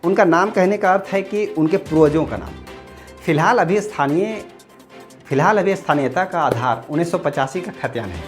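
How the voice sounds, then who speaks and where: A woman's voice: lowish voice, lively intonation, moderate pace. A woman reading a scripted news explainer as narrator. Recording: music behind the voice. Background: background music.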